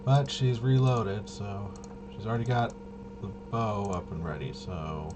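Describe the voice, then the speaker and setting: A man's voice: fairly low pitch, unhurried, heavy background noise. A man casually thinking aloud as he plays a tabletop roleplaying game, hesitant and muttering to himself while he checks rules. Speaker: solo tabletop RPG player demonstrating gameplay.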